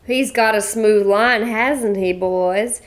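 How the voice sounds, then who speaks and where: A woman's voice: mid-range voice, takes it slowly, close to an everyday voice. A woman voicing a bossy, blunt, middle-aged widow from a cattle ranch, speaking. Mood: bossy, folksy. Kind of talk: radio play acting.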